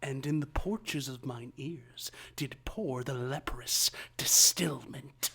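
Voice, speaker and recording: A man's voice: mid-range voice, vocal fry, bright, forceful tone, heavily acted. A man playing a deranged, vengeful actor turned murderer, declaiming in a grandiose, menacing way in a live radio play. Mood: gloating menace. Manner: grandiose, menacing, drawn-out delivery.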